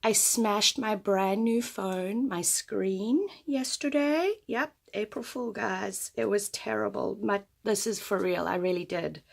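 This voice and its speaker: A woman's voice: medium pitch, takes it slowly, creaky, hardly any pauses. A woman demonstrating a paper craft who is losing her voice, giving chatty step-by-step instructions at her craft table. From United States. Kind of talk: craft tutorial.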